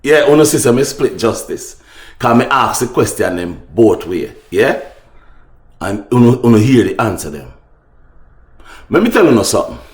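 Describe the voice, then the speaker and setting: A man's voice: medium pitch, very animated, moderate pace, pauses often, echoey room. A man with a Jamaican accent hosting casual opinion talk for his viewers, speaking emphatically and repeating phrases. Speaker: online talk show host.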